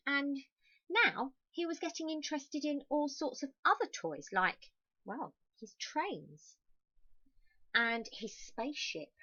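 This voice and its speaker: Expressive woman reading to children, slightly muffled. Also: a little high, dry recording. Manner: expressive, with emphasized words and soft confiding asides. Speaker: storyteller reading a picture book to children. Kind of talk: read-aloud children's story with chatty asides.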